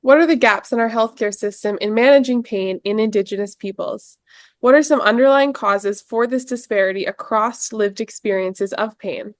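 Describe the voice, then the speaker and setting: A woman's voice: a little high, moderate pace, no room sound. Young woman interviewing, close dry sound. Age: young adult. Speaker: student host and interviewer. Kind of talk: prepared podcast interview.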